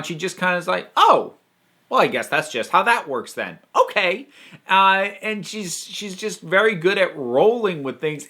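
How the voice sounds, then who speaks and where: A man's voice: sits high, bright, forceful tone. A man hosting a casual review monologue, talking in an enthusiastic, emphatic, conversational way. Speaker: host and reviewer.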